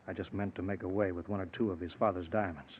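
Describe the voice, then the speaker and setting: An actor playing a cold, vengeful scientist with an offhand, domineering manner in 1940s radio drama style. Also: male voice, deep voice, fairly even intonation, fast, narrow, tinny audio. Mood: callous, offhand. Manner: smooth, offhand, dismissive, domineering. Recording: noisy, band-limited 1940s radio recording.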